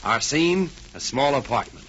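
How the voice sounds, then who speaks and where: A man's voice: medium pitch, lively intonation, normal speed, fairly bright tone, highs cut off. A man working as a 1940s radio announcer and narrator, with a polished, theatrical scripted delivery. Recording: band-limited 1940s radio recording. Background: music.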